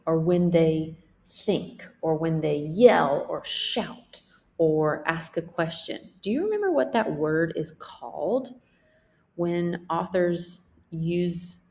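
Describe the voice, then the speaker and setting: A woman's voice: deep voice, a little mellow. A woman teacher reading a picture book aloud as a lesson for children, explaining things simply and checking in with questions.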